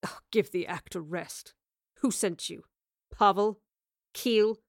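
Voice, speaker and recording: A woman's voice: lowish voice, measured pace, no room sound, clean studio sound. A woman voicing a malicious, impatient man in an audio drama, with a sarcastic, condescending drawl. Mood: menacing, impatient. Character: malicious, impatient, deep-voiced man, smug villain. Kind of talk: audio drama villain acting.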